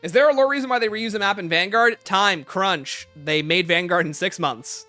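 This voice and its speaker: A man's voice: high voice, moderate pace, pushed and bright, no room sound, soft background music. A man hosting a livestream, casually reading out questions and answering them with emphatic opinions.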